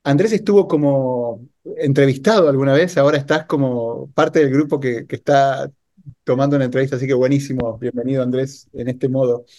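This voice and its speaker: A man hosting a podcast in a casual, conversational tone. He asks his questions in a friendly way, filling the pauses with "uh" and restarts. His voice sits at an ordinary male pitch. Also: fairly even intonation, darkish tone. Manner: friendly, filler-laden questions. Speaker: podcast host.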